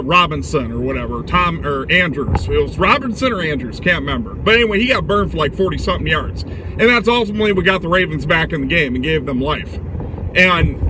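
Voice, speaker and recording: A man records himself in a moving car, with clear vehicle noise around his voice. His voice sits a bit high and is loud. He talks in a casual, rambling way, giving blunt, opinionated sports commentary. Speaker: vlogger giving football commentary.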